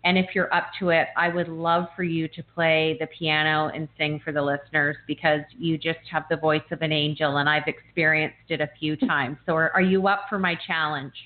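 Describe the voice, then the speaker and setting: A woman's voice: sits low, flat delivery, normal speed, few pauses. Enthusiastic woman host in her fifties. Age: 50s. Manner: enthusiastic, complimentary, repeats words at starts. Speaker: podcast host. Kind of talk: interview talk. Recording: phone-like remote line.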